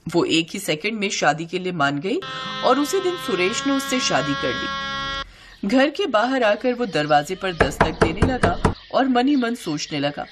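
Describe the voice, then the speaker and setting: A woman's voice: medium pitch, expressive, unhurried, loud music behind the voice. A woman delivering scripted story narration as the storyteller.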